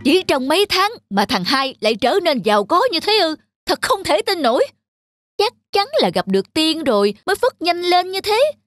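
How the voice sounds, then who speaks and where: A woman's voice: very high voice, sing-song, normal speed, no room sound, faint music behind. A woman voicing an envious, pushy wife in a cartoon folk tale. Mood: envious, incredulous. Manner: indignant, pushy.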